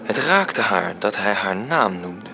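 A man from the Netherlands performing as a literary narrator in a radio play, telling the story in a measured way. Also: on the low side, very animated, creaky, over music, heavily acted. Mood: measured, reflective.

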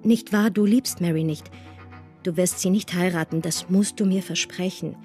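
An actress playing a passionate, anguished woman in a radio play, reading her farewell love letter in a hushed, intimate manner. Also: lowish voice, normal speed, lightly acted. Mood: anguished, pleading. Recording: studio radio play with music. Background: music.

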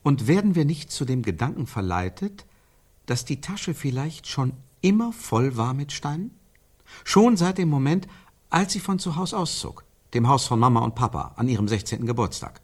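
A male actor in a radio play as an insistent, searching man who theorises in emphatic, repeated phrases with pauses for effect. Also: mid-range voice, sing-song, breathy edge. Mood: insistent, probing. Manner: emphatic, repetitive, probing, pausing for effect. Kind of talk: radio play dialogue, rhetorical repetition.

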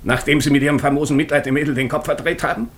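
An actor as a blunt, stern doctor. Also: male voice, a little high, steady tone, racing, a bit bright, a little hiss or hum, lightly acted. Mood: stern, accusing. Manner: incisive, sarcastic, insistent. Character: blunt, morally stern male doctor, passionate, sardonic. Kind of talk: German radio drama acting.

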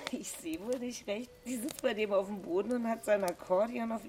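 A woman's voice: medium pitch, normal speed, very noisy, lightly acted. An actress playing a stressed, sarcastic woman in her forties in a naturalistic radio drama, dry and nagging. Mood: irritated. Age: middle-aged.

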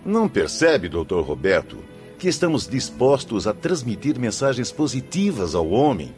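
An actor playing a discouraged, frustrated spirit in a radio drama, protesting in formal, dramatic speech. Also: male voice, medium pitch, big pitch swings, moderate pace, a little mellow, faint music behind, natural voice. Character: discouraged, frustrated male spirit eager to be heard.

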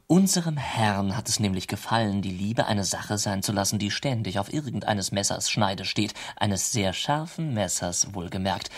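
An actor playing a wry, pompous commentator in a radio drama, speaking in florid, mock-formal, ironic phrases. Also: male voice, fairly low pitch, animated, moderate pace, few pauses. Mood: mock-formal, philosophical. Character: wry, pompous male commentator of worlds, addressing listeners.

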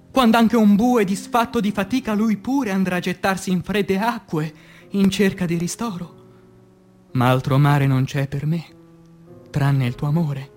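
A man, an actor, reciting poetry in a declamatory, impassioned theatrical style that swings between hushed and loud. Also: sits high. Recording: music under the voice. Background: music.

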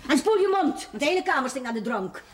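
A woman's voice: high-pitched, big pitch swings, quick pace. An actress playing an exasperated, nagging mother of a grown idle son in a television period drama. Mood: scolding. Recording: faintly noisy 1970s television soundtrack.

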